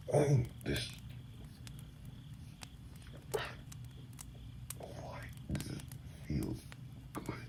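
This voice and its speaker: Male actor as distrustful wounded male vampire, dark mellow tone, lively swings in pitch, gravelly, hesitant formal speech, ASMR audio drama. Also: slight background noise, heavily acted, low-quality recording. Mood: panicked, resisting. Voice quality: very deep, gravelly, breathy at times. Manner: hesitant, formal wording, guarded. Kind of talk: ASMR roleplay audio acting.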